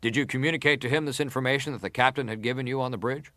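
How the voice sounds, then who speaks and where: A man's voice: medium pitch, steady tone, pushed and bright, no echo, natural voice. Persistent male senator interrogating, played by an actor, dry sound. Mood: probing, insistent. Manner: deliberate, formal, pressing questions. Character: probing, persistent senator questioning a witness. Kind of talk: dramatised reading of hearing interrogation.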